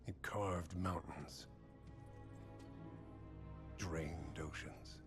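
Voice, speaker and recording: A man's voice: rumbling low, very slow, heavily acted. A male actor playing a grave, world-weary mage who narrates a game cinematic in a solemn, portentous way. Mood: grave, foreboding. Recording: game cinematic with loud musical score. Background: cinematic music.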